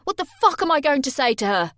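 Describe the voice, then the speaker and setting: A jealous, violent young man, as a woman reads him, speaks loud, harsh and accusing. The voice stays near the reader's own pitch, pushed hard. The sound is close and dry. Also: normal speed, dry recording, lightly acted. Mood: furious.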